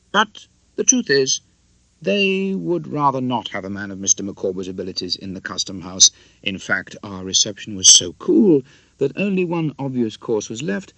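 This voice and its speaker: A man voicing a genteel middle-aged wife who talks in earnest, high-flown, wordy sentences, in an audiobook. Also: fairly bright tone, dry recording. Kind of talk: audiobook character acting.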